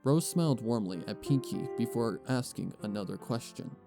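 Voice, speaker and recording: A man's voice: medium pitch, lively intonation, moderate pace, gravelly. A man giving scripted storybook narration as the narrator of a fan fiction audio reading. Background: music.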